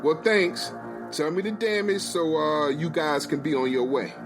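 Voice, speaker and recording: A man's voice: a little high, steady tone, a little slow, music in the background, voice pushed up, close to an everyday voice. A man voicing a hot-headed, indignant husband and father who speaks emphatically and with exasperation. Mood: curt, guarded.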